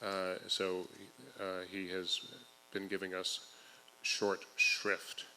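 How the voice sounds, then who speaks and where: A man's voice: low voice, monotone, slow, pushed and bright, a little hiss or hum. A man addressing a public forum as its host, speaking in a large echoing hall. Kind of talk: public forum address, partly read from a letter.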